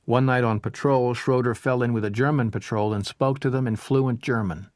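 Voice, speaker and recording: A man reading a history audiobook as narrator, in a measured, even storytelling manner. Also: mid-range voice, no echo, clean studio sound. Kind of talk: scripted narration of a history book.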